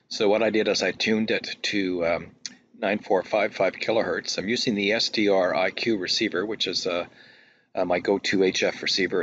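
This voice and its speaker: A man giving a casual screen-capture demonstration as a hobbyist, talking in a halting, technical way. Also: lowish voice, a bit bright. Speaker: radio hobbyist presenter. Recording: dull lo-fi audio, faint noise.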